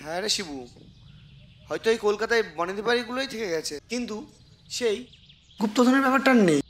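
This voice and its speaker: Casting: man as patient elder-brother detective, high voice, West Bengal Bengali accent, amateur web drama, noisy recording. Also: animated, fast talker, a bit bright. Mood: explaining, intrigued.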